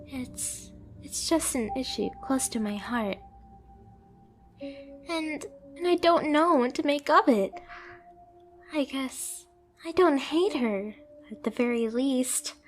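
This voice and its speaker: A woman's voice: sits high, animated, slow, long pauses, faint music behind. A woman playing a timid, clumsy young catgirl pet who is eager to please, speaking in a meek, hesitant, apologetic way in an anime-style ASMR roleplay. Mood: sad, subdued, grateful.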